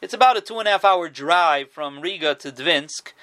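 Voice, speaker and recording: A man's voice: a little high, expressive, moderate pace, pushed and bright. A man telling a rabbinic story in casual speech, often restarting and repeating his words. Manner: restarts and repeats words, Yiddish and Hebrew terms. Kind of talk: casual spoken Torah storytelling.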